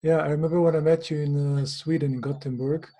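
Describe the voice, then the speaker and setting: A man's voice: a little high, level tone, fast talker, warm tone. A man hosting a podcast interview, chatting in a friendly way and often restarting his sentences. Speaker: podcast host. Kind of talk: casual interview conversation.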